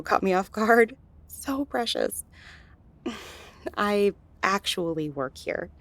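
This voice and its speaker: A woman's voice: medium pitch, vocal fry, exaggerated voice. A woman playing a friendly, flirtatious gallery worker in an intimate audio roleplay, soothing and teasing as she talks straight to the listener.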